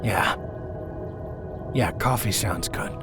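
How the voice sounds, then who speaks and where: A man's voice: lowish voice, takes it slowly, music in the background. A man playing an easygoing, good-natured spaceship crewman in a sci-fi audio drama, laid-back, wry and friendly.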